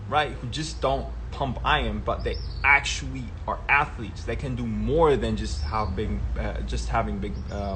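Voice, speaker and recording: Young man, casual livestream host, relaxed tag-question delivery, noisy ambience behind. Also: on the low side. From Germany. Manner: relaxed, tags sentences with "right?" and "yeah?". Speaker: live stream host. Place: outdoors at a travel spot.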